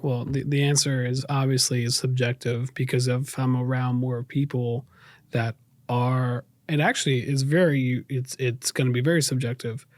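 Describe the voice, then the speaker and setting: A man's voice: mid-range voice, flat delivery, moderate pace, a little mellow, dry recording. A man with a New York accent speaking earnestly and reflectively as an interview guest, often repeating and rephrasing as he goes. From raised in the United States, New York. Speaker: podcast guest. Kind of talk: reflective personal interview talk.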